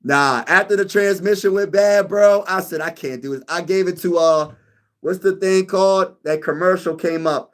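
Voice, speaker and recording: A man's voice: high-pitched, normal speed. A man hosting a sports channel in a casual live-stream chat, rambling, repeating himself and calling listeners "bro.". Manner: rambling, repeats phrases, says "bro" a lot. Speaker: YouTube sports channel host.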